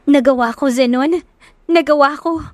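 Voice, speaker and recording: A woman's voice: high voice, a little slow, no echo, pitched above the performer's own voice. Resolute, emotional wronged wife acted by a woman. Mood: tearful relief. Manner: firm, emotional. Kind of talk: audiobook romance drama acting.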